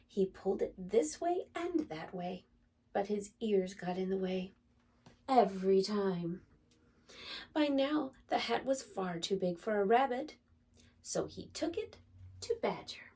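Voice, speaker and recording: A woman reading a picture book aloud for young children in an expressive, dramatic, deliberate storytelling manner. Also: medium pitch, breathy edge, recorded from a distance. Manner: animated, dramatic, deliberate phrasing for small children.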